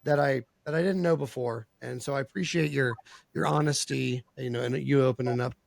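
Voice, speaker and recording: Gravelly, hoarse male podcast host. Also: medium pitch, brisk, no echo. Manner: earnest, with many repeated words and restarts. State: losing his voice. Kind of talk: casual interview chat.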